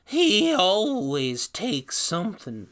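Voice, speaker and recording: A man voicing a sinister old backwoods captor in a horror story, with a slow, drawling, menacing mumble. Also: sits high, wildly swooping pitch, fairly bright tone, pitched above the performer's own voice, exaggerated voice. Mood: muttering, uneasy. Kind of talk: storybook character voice in horror narration.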